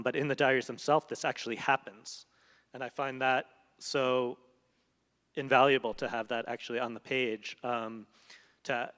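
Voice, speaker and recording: A man's voice: mid-range voice, a bit bright. Thoughtful man in a reverberant hall. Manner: thoughtful, often searching for words. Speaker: translator in a post-reading conversation. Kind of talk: reflective onstage talk. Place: stage of a large hall before an audience.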